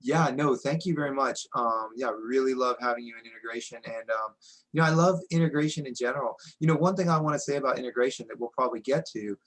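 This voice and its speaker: A man's voice: mid-range voice, fast, fairly bright tone, poor audio. Earnest man in his forties. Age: 40s. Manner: earnest, reflective, frequent "you know" and restarts. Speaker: integration coach, podcast guest. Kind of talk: candid personal interview talk.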